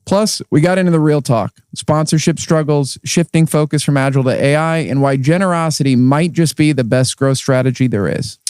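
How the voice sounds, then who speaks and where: An enthusiastic man co-hosting an interview podcast, reacting quickly to his guest. His voice sits a little high. The recording is dry and close. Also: a little mellow, smooth, no room sound. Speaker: podcast co-host, Scrum trainer. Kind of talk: casual interview chat.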